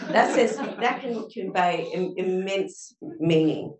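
A woman's voice: mid-range voice, slow, crowd noise. A woman speaking on a panel, giving earnest advice built from examples to a live audience. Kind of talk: panel discussion answer. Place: event room with audience. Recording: echoey room from a distance.

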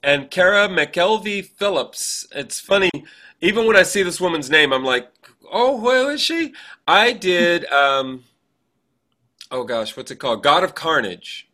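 A man's voice: high voice, animated, unhurried, bright, forceful tone. A man co-hosting a podcast, chatting casually and reminiscing in a rambling, anecdotal way. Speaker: podcast co-host.

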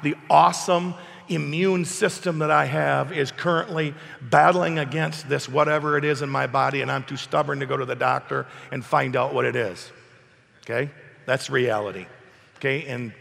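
A man preaching an emphatic sermon while hoarse from a cold, asking his congregation questions. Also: medium pitch, steady tone, moderate pace, a bit bright, in a large hall. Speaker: pastor. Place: church hall.

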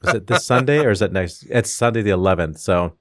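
A man co-hosts a podcast in loose, joking banter, rambling with plenty of fillers. His voice is very low, recorded dry and close. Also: fast talker, dry recording. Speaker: podcast co-host. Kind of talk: casual banter.

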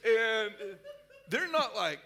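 High-voiced, bright American male preacher. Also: big pitch swings. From Texas, United States. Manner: exuberant, playful, pausing for interpreter. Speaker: guest preacher. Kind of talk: interpreted live sermon, joking with congregation.

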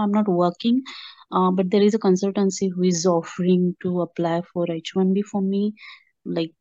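A woman's voice: mid-range voice, dark tone, no echo. A woman calling in to ask questions in a conversational way over a phone connection. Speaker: caller. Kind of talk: hesitant questions on a conference call. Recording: dull, dry phone connection.

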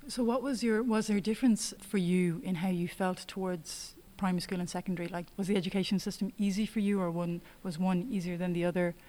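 A woman hosting a podcast, reading a polished introduction and then asking casual, measured interview questions. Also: lowish voice, steady tone, mellow. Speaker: podcast host, talks coordinator.

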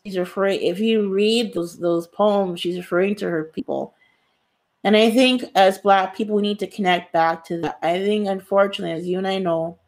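A woman's voice: lowish voice, gravelly. A woman author answering interview questions in an earnest, emphatic way, repeating phrases for stress. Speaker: author, guest.

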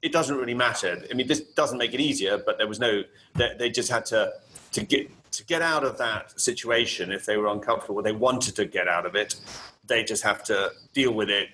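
A man with a low-set, bright and forceful tone talks through a casual interview, pausing and restarting his sentences as he works out each thought. A faint hum of room ambience sits behind him. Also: poor audio. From Kenya. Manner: hesitant, many restarts and fillers. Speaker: guest, property finance specialist. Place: home in Barcelona.

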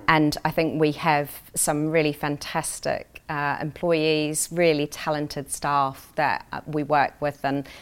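Low-pitched middle-aged New Zealand woman. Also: clean tone. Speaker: interview guest, corporate executive. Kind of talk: conversational professional interview answers.

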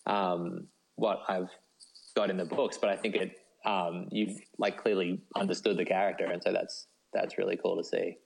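Australian man, interview guest. Low voice, informal, self-deprecating, easygoing delivery. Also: flat delivery, normal speed, vocal fry, breathy edge, low-quality recording. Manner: laid-back, self-deprecating. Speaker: guest, novelist. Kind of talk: casual interview chat.

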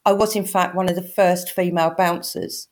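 A woman in her sixties with a croaky voice, candidly telling her own story as a podcast guest. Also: on the low side, fairly even intonation, normal speed. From England. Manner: straight-talking, candid. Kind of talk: personal storytelling.

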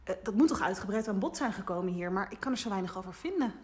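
An actress playing a curious, persistent young woman reporter in a naturalistic audio drama, asking questions in a halting way. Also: medium pitch, animated, darkish tone, slightly rough. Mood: hesitant, curious.

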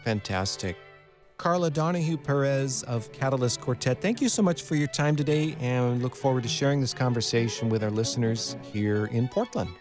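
A man conducting a conversational radio interview as host, phrasing things in a measured, courteous way. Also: medium pitch, soft background music. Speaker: radio program director and interviewer.

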